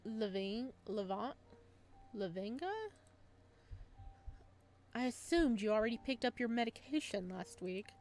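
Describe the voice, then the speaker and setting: Woman acting a soft-spoken, pointed lady pharmacist. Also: a little high, animated, a little slow, pauses often, soft background music. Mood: cold, hostile. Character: gentle female pharmacist, sweet but icily disapproving of her rival.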